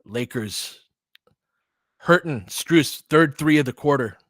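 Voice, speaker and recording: A man's voice: a little high, slow pace, a bit bright, no echo, studio quality. A man doing casual live basketball play-by-play and analysis, mixing short clipped phrases with conversational asides as a partisan fan of the team.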